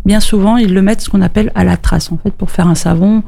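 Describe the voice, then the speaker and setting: Gravelly-voiced woman, casually explaining. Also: medium pitch, steady tone, fast talker, warm tone. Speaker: interview guest, farm producer. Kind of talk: casual explanatory interview talk.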